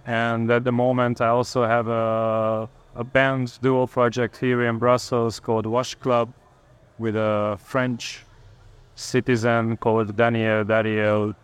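A man from Hungary, a guest on a panel, introducing himself in a relaxed, plain-spoken way. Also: mid-range voice, takes it slowly, clean tone. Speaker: panel guest, electronic music producer. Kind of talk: casual self-introduction.

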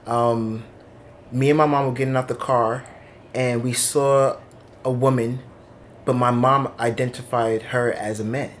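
A man in his thirties from Long Island tells his life story in an oral history interview, candid and reflective. His voice sits at an everyday middle pitch, with a faint hiss of background noise in the office. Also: steady tone, unhurried. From Long Island, New York. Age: 30s. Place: office indoors.

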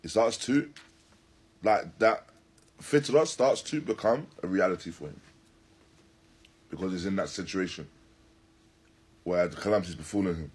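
A man teaching in a religious lecture, deliberate and emphatic, repeating and rephrasing his phrases as he explains a text. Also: deep voice, a little mellow, breathy edge. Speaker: religious teacher giving a lesson. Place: Islamic centre, live stream.

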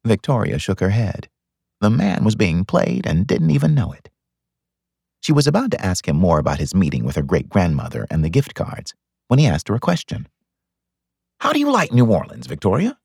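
A man reading fiction as an audiobook narrator, in an expressive scripted style. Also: sits low, normal speed, creaky, slightly rough, no echo, studio quality.

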